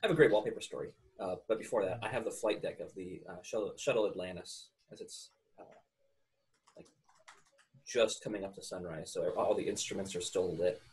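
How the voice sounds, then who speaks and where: A man in his thirties, co-hosting a podcast from home, telling stories in a lively way. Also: sits low, brisk. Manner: animated storytelling. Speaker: podcast co-host. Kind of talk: casual chat on a video call. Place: room at home.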